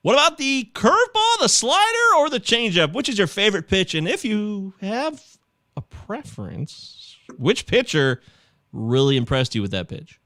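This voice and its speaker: A man hosting a podcast, excitable and animated, laughing easily as he asks questions. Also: high-pitched, moderate pace, no room sound. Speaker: podcast host. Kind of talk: casual chat.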